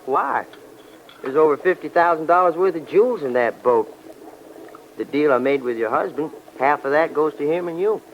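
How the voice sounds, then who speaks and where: Cagey hoodlum, old-time radio drama: colloquial, pushy, lo-fi sound with faint hiss. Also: male voice, fairly high pitch, lively intonation, moderate pace. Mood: scheming, pushy. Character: cagey, slangy male crook on the run, scheming. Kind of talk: 1940s-50s radio drama acting. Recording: muffled, noisy old radio recording.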